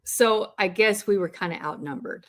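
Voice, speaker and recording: A woman's voice: medium pitch, moderate pace. A woman co-hosting a casual live Q&A with her husband, easygoing, often laughing and teasing him. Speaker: podcast co-host.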